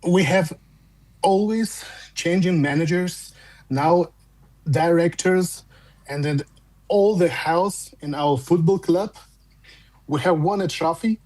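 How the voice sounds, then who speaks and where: Russian-accented man, caller on a fan phone-in, slightly high voice, halting, lots of restarts. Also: a little mellow. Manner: halting, repeats words and restarts while searching for English.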